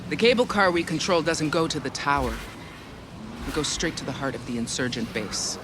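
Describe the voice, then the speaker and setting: An actor playing a frank military officer in a video game cutscene. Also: male voice, a little high, animated, normal speed, background noise, lightly acted. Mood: frank warning. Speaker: soldier character in a video game.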